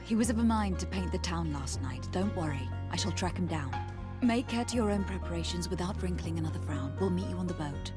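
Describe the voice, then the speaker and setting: A woman's voice: on the low side, animated, a little fast, music in the background, close to an everyday voice. An actress playing a poised, confident young noblewoman in a video game, formal and polite. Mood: composed, courteous. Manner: formal, composed.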